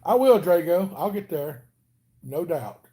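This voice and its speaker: A man's voice: a little high, expressive, a little slow, mellow. A man hosting a casual live stream, chatting and answering viewers by name in an easygoing way. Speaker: channel host reading live chat.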